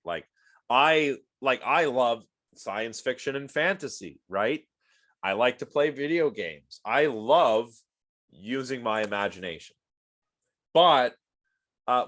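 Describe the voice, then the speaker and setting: A man's voice: medium pitch, fairly bright tone. A man giving a casual online lecture on a video call and reacting to the chat. He is sniffly from allergies and rambles with lots of fillers and restarts.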